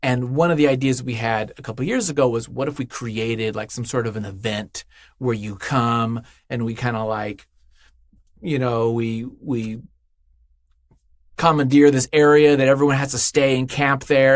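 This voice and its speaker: A man's voice: mid-range voice, steady tone, moderate pace, no echo, studio quality. A man chatting casually as an interview guest, telling things in a rambling way and acting out imagined quotes. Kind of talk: casual chat.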